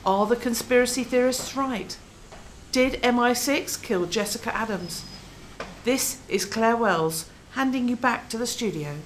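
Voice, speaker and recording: Woman acting a high-voiced, determined news reporter. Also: measured pace, slight background noise, lightly acted. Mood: formal reporting. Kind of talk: radio drama acting.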